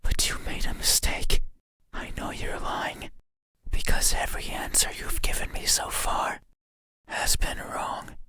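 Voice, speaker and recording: An actor voicing a creepy, intimate bedside monster in a horror game, pleading and menacing in a breathy, insistent whisper. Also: bright, forceful tone. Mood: accusing, eerie. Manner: slow, insistent, eerily deliberate.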